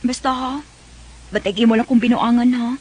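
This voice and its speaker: Shy, high-voiced young Filipina. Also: female voice, steady tone, fairly quick, a little mellow, breathy edge. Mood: teasing, tender. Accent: Cebuano Filipino. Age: young adult. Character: shy, hesitant young woman being courted. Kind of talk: Cebuano radio drama acting.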